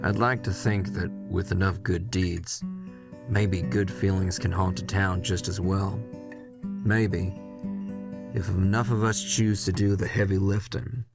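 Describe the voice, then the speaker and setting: Man acting a gravelly, brooding ghost hunter. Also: low-pitched. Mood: reflective. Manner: brooding, profane, confessional. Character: weary, hard-boiled, bitter male ghost hunter. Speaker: narrator and lead character. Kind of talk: first-person noir horror audio drama monologue.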